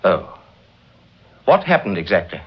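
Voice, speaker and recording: An actor playing a guarded, wry playwright in a mid-century radio drama, clipped and politely sardonic. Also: male voice, sits low, very animated, measured pace, breathy edge, exaggerated voice. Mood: wary, dry. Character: guarded, wry English playwright with a touchy streak. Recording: dull, muffled vintage radio recording.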